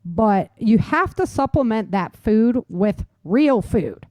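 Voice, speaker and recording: A woman's voice: on the high side, animated, slow, a little mellow, no room sound. Casual middle-aged American woman, close dry sound. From United States. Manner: informal, emphatic, addresses listeners directly. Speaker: podcast host. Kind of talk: casual instructional podcast talk.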